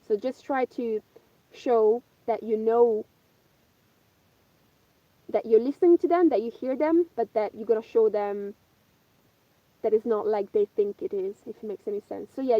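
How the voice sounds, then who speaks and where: Chatty woman, bright voice. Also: fairly high pitch, dry recording. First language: Italian. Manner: chatty, rambling, many ums and uhs. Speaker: vlogger answering viewer questions. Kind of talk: casual live-stream chat. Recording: dull, dry lo-fi live stream.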